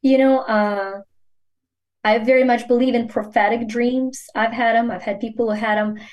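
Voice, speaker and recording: A woman's voice: a little high, steady tone, moderate pace, dull audio. A woman from Ukraine, a native Ukrainian speaker, talking earnestly and emphatically as a guest in a podcast interview, with a coaching tone.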